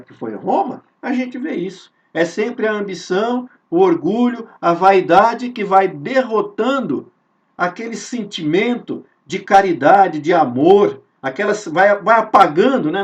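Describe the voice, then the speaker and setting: Earnest high-voiced Brazilian man preaching. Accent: Brazilian Portuguese. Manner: earnest, repeats phrases, rhetorical questions, drawn-out emphasis. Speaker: spiritist study leader. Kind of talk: informal devotional teaching. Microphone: video call. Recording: dull lo-fi sound from a distance.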